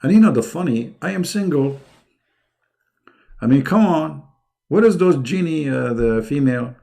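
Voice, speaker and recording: A man's voice: on the high side, lively intonation, normal speed, creaky, warm tone. A middle-aged man who speaks English as a second language hosts a live online debate in a mocking, emphatic, sarcastic way. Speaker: debate host.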